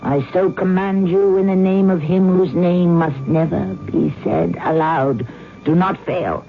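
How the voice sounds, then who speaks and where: A woman's voice: fairly low pitch, expressive, a little slow. An actress playing a sinister, commanding witch in theatrical old-time radio style, incanting imperiously to her familiar. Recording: muffled old radio broadcast, music behind.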